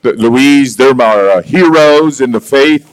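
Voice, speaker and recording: A middle-aged American man preaching from the pulpit as a guest pastor, his voice big and jovial. He speaks in short bursts and leaves pauses so each line can be interpreted. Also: rough recording. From United States. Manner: booming, jovial, short phrases with pauses for translation. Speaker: visiting senior pastor. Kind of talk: sermon with live interpreter.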